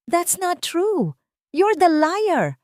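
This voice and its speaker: Proud, desperate storybook father played by a woman, Filipino accent, strained and defensive, swinging widely in pitch. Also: sing-song, extremely slow, a little mellow, no echo, clean studio sound, exaggerated voice. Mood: angry, desperate. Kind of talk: audio storybook character voice.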